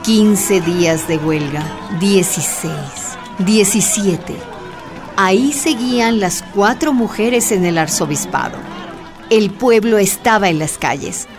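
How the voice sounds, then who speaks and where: A woman's voice: mid-range voice, takes it slowly, lightly acted. A woman narrating a Spanish-language radio drama as its storyteller. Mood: solemn, urgent. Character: woman narrator recounting a miners' hunger strike. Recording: music and crowd behind the voice.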